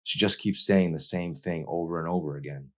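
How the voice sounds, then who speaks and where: Guy doing a brooding teen boy, earnest and defensive, low voice, novel reading. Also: fairly quick, fairly bright tone. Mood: heavy, confessional. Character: brooding, guilt-ridden, earnest teenage boy.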